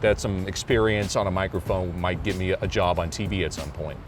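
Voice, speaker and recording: Male, thirties, American. Deep booming announcer voice, talking casually in an interview, music behind. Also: steady tone, a little fast. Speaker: sports public address announcer.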